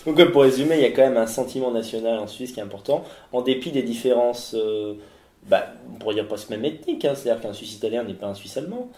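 Un homme qui mène un entretien détendu, pose des questions courtes et ponctue par de brèves approbations. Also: male voice, medium pitch, animated, quick pace, dark tone, roomy sound. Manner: brief affirming backchannels and questions. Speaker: interviewer. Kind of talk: relaxed interview.

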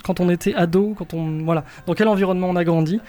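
A man's voice: high-pitched, fairly even intonation, fast, warm tone. A man in his twenties hosting a casual radio chat show, talking loosely with frequent hesitations. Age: 20s. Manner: hesitant, many fillers. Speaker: radio host.

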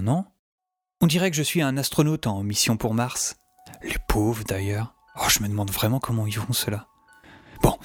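A man's voice: medium pitch, lightly acted. A man playing Oliver, a chummy, self-doubting young time-travel hero who narrates and speaks colloquially in a French audio drama. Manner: colloquial, hesitant, joking.

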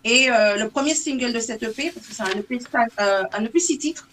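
High-voiced woman in a noisy recording, native English speaker. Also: a little fast, fairly bright tone. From Saint-Martin in the Caribbean. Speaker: singer, interview guest. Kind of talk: casual interview conversation.

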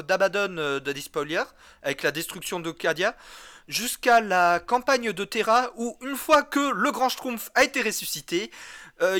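A man's voice: sits high, sharp tone. A man chatting casually and enthusiastically about games as a podcast co-host, geeky and slangy. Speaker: co-host of a video game podcast. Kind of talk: casual gaming chat.

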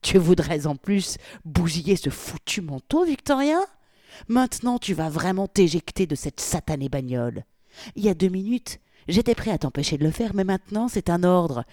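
A loud, cursing gangster man full of contempt, barking orders in a low, dry voice with swings in pitch, as a woman puts him on. He sounds brutal and threatening in this audiobook. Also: very animated, normal speed, no room sound. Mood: angry, threatening.

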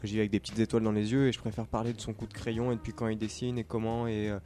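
A man's voice: lowish voice, flat delivery, racing, dark tone. A man chatting casually on a podcast, talking with many fillers and restarts. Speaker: podcast co-host, comics shop worker. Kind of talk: casual chat.

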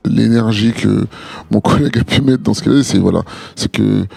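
A man co-hosting a podcast, giving measured advice with frequent hesitations and restarts. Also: lowish voice, vocal fry, darkish tone, husky, no room sound, faint music behind. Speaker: podcast co-host. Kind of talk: casual podcast discussion.

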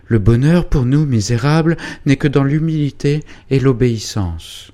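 A man's voice: deep voice, fairly even intonation, measured pace, dark tone. A man reading a storybook voicing a severe, anxious middle-aged mother who scolds her daughter. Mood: alarmed.

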